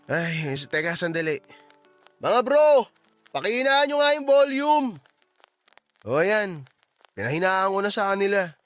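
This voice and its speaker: High-pitched sweet-talking young boyfriend. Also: male voice, big pitch swings, measured pace, pauses often, fairly bright tone, dry recording, soft background music, full character voice. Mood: placating. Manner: wheedling, coaxing, teasing. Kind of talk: Tagalog romance audio drama acting.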